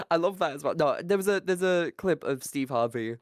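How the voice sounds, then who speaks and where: A podcast co-host talks in casual, animated bursts at a middling pitch. The manner is jokey and sweary. The recording is dry, with little sense of a room. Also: normal speed, no room sound. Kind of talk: casual chat.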